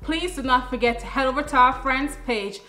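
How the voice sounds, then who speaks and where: A woman's voice: high voice, brisk, sharp tone. A young woman co-hosting a vlog, giving casual relationship advice to camera in an emphatic, explanatory way. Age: young adult. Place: living room, on a sofa. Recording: echoey room. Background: music.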